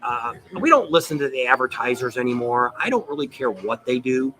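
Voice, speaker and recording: A man's voice: medium pitch, animated, fairly quick, sharp tone, soft background music, rough recording. A man hosting a live show, rambling in casual, opinionated chat and repeating himself as he goes. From United States, near Saint Louis. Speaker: show host.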